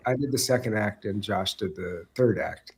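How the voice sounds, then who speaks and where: A man's voice: on the low side, moderate pace, vocal fry, crowd noise. A man talking casually as a panel guest, hesitant and self-deprecating. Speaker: TV writer guest.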